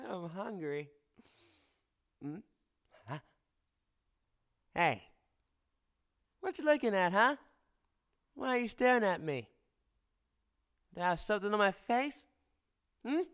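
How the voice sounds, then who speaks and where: A man's voice: high voice, a bit bright, heavily acted. A man playing a cocky, teasing fire-wielding boyfriend. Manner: teasing, playful, drops to close whispers. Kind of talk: ASMR boyfriend roleplay.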